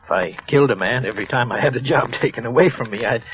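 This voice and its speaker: A man's voice: mid-range voice, highs cut off, crowd noise, close to an everyday voice. Man playing a jittery guilty office clerk. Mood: defensive. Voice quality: halting, stammering, with nervous little laughs. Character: nervous guilty male office clerk, middle-aged. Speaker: narrator and lead character. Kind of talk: 1940s radio drama acting. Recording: old radio broadcast with music behind.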